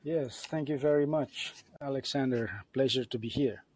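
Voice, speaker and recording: A man's voice: mid-range voice, normal speed, a little mellow, slightly rough, no echo. A man with an Indonesian accent, a podcast guest, telling a personal story in a friendly, chatty way full of fillers. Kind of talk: casual storytelling.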